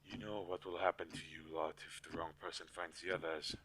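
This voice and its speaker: A man acts out a weary inventor-mage in an audio roleplay. His voice sits low, with a bright, forceful edge. He speaks earnestly and protectively, pausing haltingly, over faint background noise on a low-quality recording. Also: level tone. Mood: grave, worried. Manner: hesitant, earnest, halting pauses. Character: weary, protective male inventor-mage, creator of living dolls. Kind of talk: scripted audio roleplay drama.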